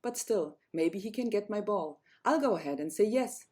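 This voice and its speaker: A woman's voice: medium pitch, animated, normal speed, warm tone, close to an everyday voice. A woman voicing a spoiled young fairy-tale princess, dismissive and easily disgusted.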